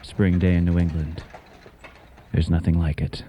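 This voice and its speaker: A man hosting and narrating a scripted radio tale in a measured, literary, dramatic manner. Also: sits low, flat delivery, noisy background. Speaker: host and narrator.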